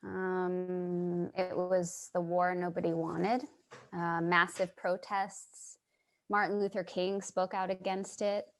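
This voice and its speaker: A woman's voice: fairly low pitch, little pitch movement, takes it slowly. A woman playing an earnest, sympathetic, somewhat naive writer in a staged reading, sincere and a little hesitant. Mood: curious, earnest. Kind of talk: staged reading with first-person narration.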